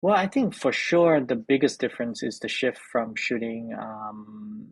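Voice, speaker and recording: A middle-aged man from Thailand, a film editor and director, answering interview questions in a halting way with many repeated words and fillers. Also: mid-range voice, lively intonation, breathy edge, dry recording.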